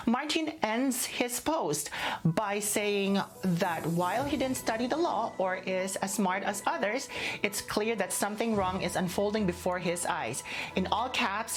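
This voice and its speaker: A woman hosting an online showbiz segment reads scripted entertainment news. She has a Filipino accent and a mid-pitched voice, with faint music underneath. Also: lively intonation, normal speed. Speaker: co-host reading showbiz news on online radio show.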